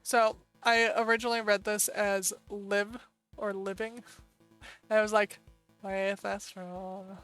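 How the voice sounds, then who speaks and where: A woman with an American accent, casually chatting through a live stream and thinking aloud with quick asides. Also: mid-range voice, level tone, a bit bright, clean tone, faint music behind. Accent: American English.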